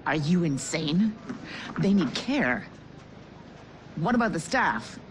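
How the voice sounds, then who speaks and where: An outraged field doctor. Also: female voice, low voice, sing-song, slow, background noise, heavily acted. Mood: indignant. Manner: urgent, indignant protest. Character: outraged female doctor at a clinic.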